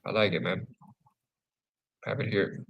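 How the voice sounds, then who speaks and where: A man's voice: on the low side, fairly even intonation, moderate pace, creaky, husky, lo-fi sound. A man co-hosting a live men's group talk, laid-back and casual, swearing easily. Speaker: co-host.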